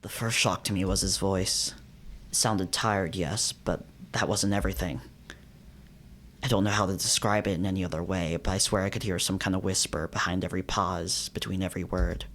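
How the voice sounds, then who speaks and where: A man playing the grave, hushed narrator of a creeping horror story in a visual novel. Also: low-pitched, fairly even intonation, moderate pace, a little hiss or hum, voice pushed down. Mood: uneasy.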